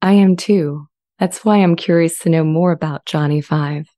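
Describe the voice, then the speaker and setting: A woman playing a gentle, earnest artificial intelligence in a dramatized reading, speaking in measured, articulate phrases. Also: low-pitched, natural voice. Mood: curious, gentle. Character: sentient AI language model, earnest, gentle, eager to be accepted. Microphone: close studio microphone with pop shield.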